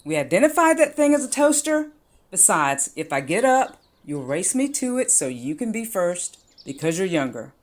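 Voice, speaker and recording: A woman's voice: lowish voice, sing-song, normal speed. A woman playing a fussy, stubborn, old-fashioned older woman in a radio play, prim and emphatic. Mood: dismissive.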